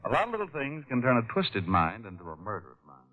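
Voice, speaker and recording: A man playing a sharp, wisecracking private detective in casual, slangy old-time radio style. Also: medium pitch, wildly swooping pitch, fast talker. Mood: insistent. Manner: casual, slangy, reasoning aloud. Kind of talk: 1940s-50s radio detective acting and narration. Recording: muffled, noisy old radio recording.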